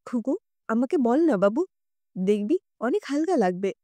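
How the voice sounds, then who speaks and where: A woman's voice: fairly high pitch, big pitch swings, measured pace, mellow, dry recording, pitched below the performer's own voice. A woman voicing a caring, watchful middle-aged mother who coaxes and reassures in an audio story. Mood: coaxing. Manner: coaxing, reassuring.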